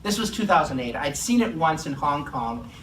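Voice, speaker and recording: A middle-aged man from Massachusetts with a Massachusetts accent, giving a lively, joking conference presentation to a live audience. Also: fairly high pitch, moderate pace. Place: conference room in an office. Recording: echoey room, distant mic, faint hum.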